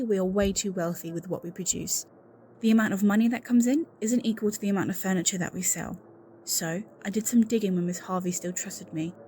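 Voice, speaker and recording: A woman's voice: mid-range voice, mellow, slight background noise, natural voice. A woman playing an anxious, suspicious secretary in an audio roleplay, speaking in an earnest, confiding and insistent way. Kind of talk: scripted audio roleplay acting, one-sided conversation.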